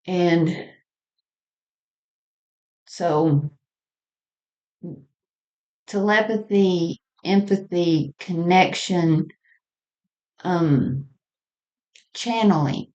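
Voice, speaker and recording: A woman with a low-pitched voice talks through her spiritual ideas alone, as a podcast host. Her delivery is very slow and reflective. She is halting, often letting a thought trail off before picking up the next. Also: a little mellow, muffled recording. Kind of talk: unscripted spiritual monologue.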